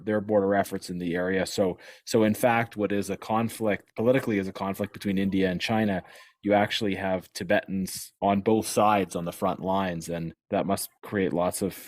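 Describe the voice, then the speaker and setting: A man with a very deep voice hosts an interview podcast. He affirms his guests and asks follow-up questions, and his voice sounds dry and close. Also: no room sound. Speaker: podcast host. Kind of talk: hosting an interview.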